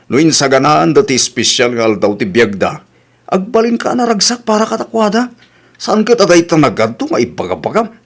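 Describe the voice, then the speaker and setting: A man's voice: a little high, huge pitch swings, brisk, exaggerated voice. A man playing a frank village husband in an Ilocano radio comedy drama, chiding and lecturing in exasperation, with a Filipino Ilocano accent. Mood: exasperated, lecturing.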